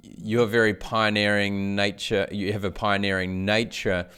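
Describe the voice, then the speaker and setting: A man with a smooth, fairly low voice hosts a conversational interview. He asks measured questions and offers affirming responses as he goes. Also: little pitch movement, sharp tone. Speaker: podcast host.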